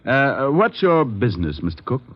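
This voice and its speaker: An actor playing a breezy, wisecracking private detective who also narrates, in a glib 1940s radio acting style. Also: male voice, mid-range voice, very animated, moderate pace. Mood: dry, businesslike. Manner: glib, teasing, flippant. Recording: muffled vintage 1940s radio recording.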